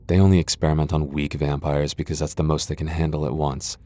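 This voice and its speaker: A proud vampire lord acted out by a man speaks in a deep, dark-toned voice. He is sardonic and defiant, with a dry, sighing delivery suited to a fantasy ASMR roleplay. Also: flat delivery, fast. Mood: defiant, weary. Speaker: voice actor in a roleplay audio.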